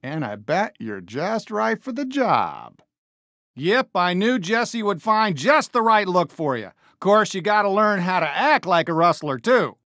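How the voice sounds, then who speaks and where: Good-natured cartoon cowboy sheriff, American, folksy drawl, very lively swings in pitch, unhurried, game character. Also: male voice, no echo, studio quality, exaggerated voice. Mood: friendly, encouraging. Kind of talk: animated video game character lines.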